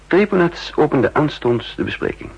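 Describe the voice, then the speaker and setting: Husky man narrating an old radio play. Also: on the high side, sing-song. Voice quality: husky, measured and guarded. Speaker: narrator and lead spy. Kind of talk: first-person narration in a 1960s radio drama. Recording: dull lo-fi 1960s radio recording.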